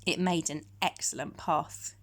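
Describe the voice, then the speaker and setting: Slow, expressive woman reading a story. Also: sits low. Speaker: storytime reader. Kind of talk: scripted children's story narration.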